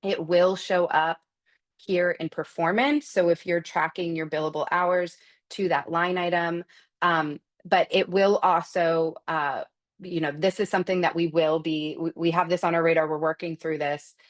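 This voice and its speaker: A woman presenting software and answering customer questions in an unscripted webinar, explaining step by step and often correcting herself as she goes. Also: lowish voice, steady tone, normal speed.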